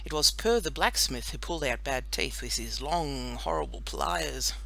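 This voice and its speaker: A woman narrating a children's audiobook in an expressive storytelling style. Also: a bass voice, normal speed, vocal fry.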